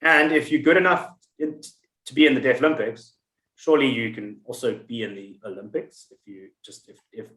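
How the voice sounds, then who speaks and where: A man's voice: mid-range voice, brisk, a bit bright, echoey room, low-quality recording. A man hosting a podcast in casual chat, rambling and telling stories with many restarts. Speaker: podcast host.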